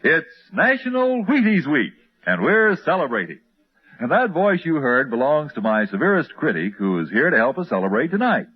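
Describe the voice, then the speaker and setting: Lively American male vintage radio announcer. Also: on the high side, moderate pace, slightly rough. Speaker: radio announcer, commercial pitchman. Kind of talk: old-time radio ad banter. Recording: muffled vintage radio broadcast.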